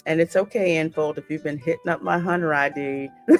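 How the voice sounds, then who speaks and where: Casting: American woman as gameplay host, colloquial and chatty, a bit low in pitch, soft music underneath. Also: brisk. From United States. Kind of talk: gameplay commentary, reading game text aloud. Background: faint game music.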